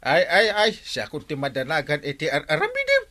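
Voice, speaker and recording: Exasperated village captain, Ilocano accent, very animated pitch, bright forceful voice, radio comedy drama. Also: male voice, fairly quick, full character voice. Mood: fretful.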